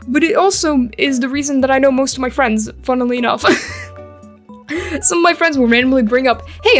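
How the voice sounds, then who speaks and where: High-voiced young American woman, rambling and creaky. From England and Eastern Europe, later New York. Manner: rambling, self-deprecating, giggly. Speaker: art YouTuber. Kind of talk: casual chatty speedpaint commentary. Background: background music.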